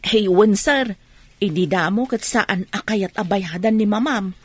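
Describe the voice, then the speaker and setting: An actress playing a deferential, gossipy household helper in an Ilocano Filipino radio drama. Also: female voice, mid-range voice, measured pace, slightly rough, no echo. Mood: confiding.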